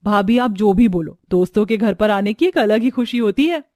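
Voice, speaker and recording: A woman voicing a bubbly, affectionate young woman in a family story, excitable and gushing. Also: mid-range voice, normal speed, no room sound, clean studio sound, natural voice. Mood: eager.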